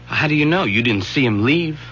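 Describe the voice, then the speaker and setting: An actor playing an earnest, pleading young son in a radio drama. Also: male voice, mid-range voice, expressive, brisk, fairly bright tone, faint music behind, lightly acted. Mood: strained. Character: earnest young son defending his father. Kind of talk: 1970s radio drama acting. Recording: muffled old radio broadcast, faint noise.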